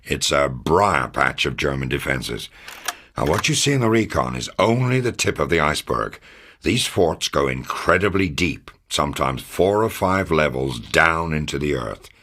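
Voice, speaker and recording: A man playing a commanding, confident American intelligence officer, delivering a measured, authoritative mission briefing in a video game. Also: a bass voice, sing-song, fairly bright tone, heavily acted. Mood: firm, emphatic. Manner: authoritative, measured, matter-of-fact. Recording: music under the voice. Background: background music.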